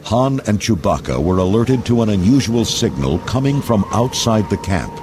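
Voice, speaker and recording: A man's voice: on the low side, sing-song, normal speed, background noise. A man narrating a scripted storybook read-along in a dramatic storytelling manner. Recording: loud music under narration.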